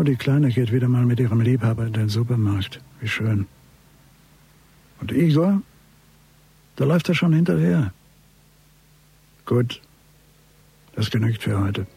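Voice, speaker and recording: A man's voice: medium pitch, unhurried, creaky, pauses often, mellow, slightly rough, exaggerated voice. A middle-aged actor playing an embittered, grieving father who watches obsessively, in a terse radio drama inner monologue. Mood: resigned, watchful. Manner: brooding, terse, talks to himself. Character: bereaved, embittered father obsessively watching his son's killer.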